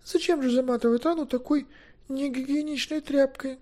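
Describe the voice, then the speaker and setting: A man voicing an excitable, earnest young woman in a fantasy audiobook, breathless, fretful and exclaiming. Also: very high-pitched, moderate pace, voice pushed up. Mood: eager, alarmed.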